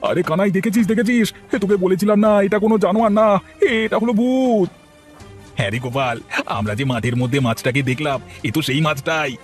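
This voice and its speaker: A man playing village farmers in a cartoon, speaking in an expressive, exclamatory way. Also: sits high, fast talker, soft background music, lightly acted. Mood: alarmed. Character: village men: practical farmer husband, frightened neighbours. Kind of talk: cartoon voice acting.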